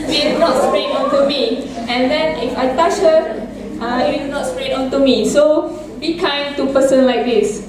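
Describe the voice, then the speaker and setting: A woman's voice: high voice, noisy background. Young woman student explaining science, slightly muffled and far from the mic. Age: young adult. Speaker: student presenter. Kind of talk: class presentation. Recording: echoey room from far off.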